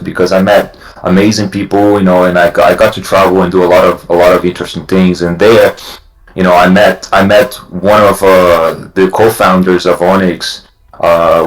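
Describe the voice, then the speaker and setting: A man from Brazil, a company CEO chatting as a guest on a livestream, halting and full of "you know". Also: deep voice, echoey room, rough recording. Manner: halting, many restarts and "you know" fillers. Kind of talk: casual livestream chat.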